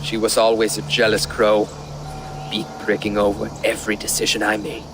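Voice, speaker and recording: A man's voice: fairly low pitch, monotone, normal speed, natural voice. Solemn Viking jarl played by a man, noisy surroundings. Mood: bitter, grave. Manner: solemn, formal. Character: proud Viking jarl, solemn, passionate and loyal. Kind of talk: video game acting.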